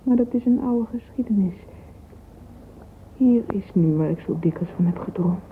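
A woman's voice: mid-range voice, moderate pace, close to an everyday voice. An actress playing a dreamy, yearning, romantic young woman in a radio drama monologue. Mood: dreamy longing. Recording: thin phone-like old radio recording, noisy.

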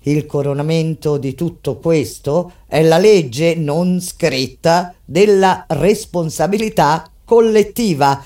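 A woman delivers dramatic theatrical narration in a solemn, deliberate manner, with weighty pauses. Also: low voice. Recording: voice over loud plucked guitar music. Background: loud acoustic guitar music.

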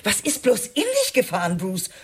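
A woman playing a haughty, scornful middle-aged wife in a radio drama, with a husky voice and cutting manner. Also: medium pitch, lively intonation, moderate pace. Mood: indignant. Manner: cutting, disdainful, theatrical.

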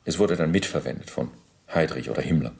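An actor in a radio play voicing a middle-aged Nazi bureaucrat under interrogation, formal, halting and self-justifying, with deliberate pauses. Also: male voice, extremely low pitch, expressive, gravelly, a little mellow, heavily acted. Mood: officious, pedantic. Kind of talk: German radio play, testimony monologue.

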